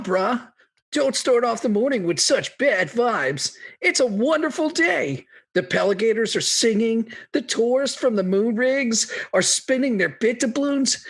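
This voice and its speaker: Slacker pal acted by a woman, laid-back, upbeat, husky fry, lively swooping pitch, drawled slang, comic radio drama. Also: heavily acted. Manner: drawling slangy dude talk.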